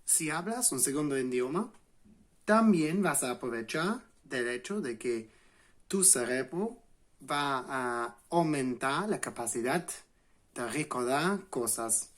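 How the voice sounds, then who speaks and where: A man in his late twenties from England, a native English speaker with an English accent, giving a relaxed spoken lesson to camera and pausing often to restart with fillers. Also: mid-range voice. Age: late 20s. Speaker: language channel host.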